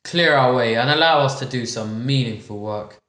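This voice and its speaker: A big, boastful monkey with a booming, scornful voice, put on by a male storyteller, teasing the small and weak in a storybook tale. It sounds raised above the narrator's own low tone, in a roomy, echoing space. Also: moderate pace, a bit bright, lightly acted. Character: great burly male monkey, mocking and boastful.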